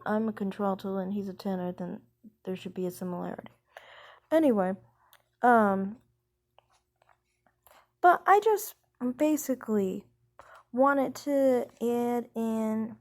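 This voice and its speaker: A woman's voice: on the high side, a little mellow. A young woman who sings, talking casually about her voice type in a rambling, hesitant way with many fillers. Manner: speaks slowly. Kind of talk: casual vlog chat.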